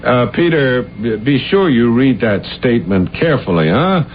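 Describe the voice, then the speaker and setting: An actor playing an unstable, deluded man in an old-time radio drama, halting, stammering and repeating his words. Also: mid-range voice, lively intonation, lightly acted. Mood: excited, grandiose. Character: unstable, deluded playwright who insists he killed his uncle. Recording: muffled, hissy 1970s radio recording.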